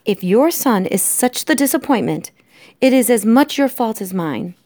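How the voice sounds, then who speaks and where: A woman's voice: on the high side, animated, moderate pace, darkish tone, no room sound, studio quality, voice pushed up. Storybook role: disdainful mother mouse, blasé yet indignant, theatrical phrasing voiced by a woman.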